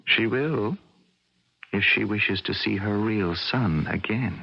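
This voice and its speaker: An actor playing a cool, menacing young double from another dimension in a radio drama, with a measured, smug manner. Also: male voice, lowish voice, vocal fry, heavy background noise. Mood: coldly menacing. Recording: muffled vintage radio drama recording.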